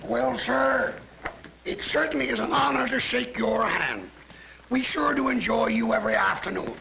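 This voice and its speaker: A folksy police sergeant who is thrilled to meet a radio star. His voice is high, gravelly and very bright, and it swings up and down eagerly. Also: animated, normal speed, noisy background, exaggerated voice. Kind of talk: 1930s film acting.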